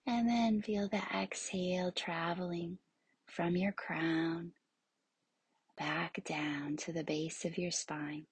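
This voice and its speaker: A woman guides a meditation as a yoga nidra instructor. Her voice is very husky and breathy, often close to a whisper. She speaks slowly and evenly, soothing and hushed, leaving long pauses. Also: on the low side, fairly even intonation, no room sound.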